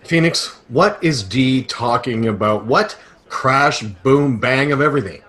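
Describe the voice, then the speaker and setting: A man's voice: mid-range voice, a little slow, a bit bright, natural voice. A man acting as a dry, bewildered space detective in a sci-fi satire audio drama. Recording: slightly noisy video-call audio.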